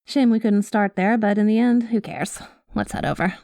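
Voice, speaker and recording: Casting: actress for cynical female space smuggler, ASMR roleplay. Medium pitch, wry conversational asides, guarded with a soft spot, very little room sound. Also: fairly even intonation, moderate pace, vocal fry, dry recording, studio quality, lightly acted. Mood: brushing off. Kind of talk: ASMR roleplay addressed to the listener.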